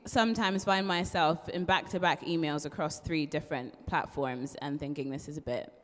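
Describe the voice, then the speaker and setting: A woman's voice: low voice, normal speed. A young woman with a mixed Irish accent, a part-qualified barrister, chatting as an interview guest with frequent ums and laughs. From born in London, raised in Ireland. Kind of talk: casual interview chat. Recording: long hall reverb.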